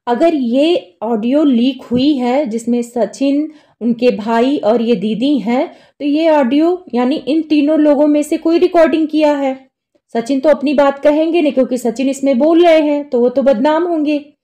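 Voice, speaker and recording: A woman with an Indian accent and a very high, soft-edged voice talks as an online commentator. Her delivery is casual and explanatory, building an argument step by step with rhetorical questions. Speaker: YouTube commentator.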